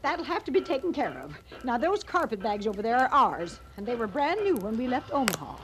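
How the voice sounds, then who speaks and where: A woman's voice: on the high side, big pitch swings, fairly quick, fairly bright tone. An actress playing an imperious, indignant middle-aged woman traveler, scolding in old-time radio western style. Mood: indignant, bossy. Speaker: stagecoach passenger.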